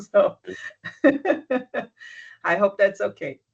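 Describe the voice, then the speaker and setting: A business mentor giving soft-spoken advice in an online session, often restarting sentences and checking that the listener follows. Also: mid-range voice, steady tone, drawn-out words, creaky, dull audio.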